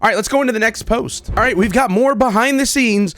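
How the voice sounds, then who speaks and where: A man hosting a reaction video, talking casually to viewers with excited, repeated exclamations. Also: extremely high pitch, fairly quick. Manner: casual, repetitive exclamations, addresses viewers familiarly. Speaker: video host reacting to game clips.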